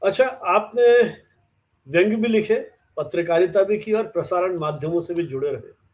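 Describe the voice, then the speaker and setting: A man hosting an interview, asking questions conversationally and deliberately in short segments. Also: high-pitched, darkish tone. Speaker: interviewer, host.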